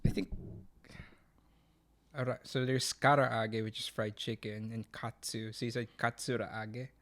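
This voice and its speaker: A young man with a Filipino accent chats casually on a podcast. He rambles, drifts off on jokey tangents and keeps an easy, mid-pitched voice. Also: level tone, a little slow, fairly bright tone. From Philippines. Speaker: podcast co-host. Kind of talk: casual chat.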